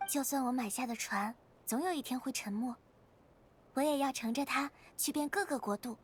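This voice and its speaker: A woman voicing an earnest, inquisitive young woman adventurer in an anime-style game, with expressive, clearly articulated delivery. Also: high-pitched, a little fast, slight background noise, natural voice. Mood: earnest, resolute. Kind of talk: scripted anime game voice acting.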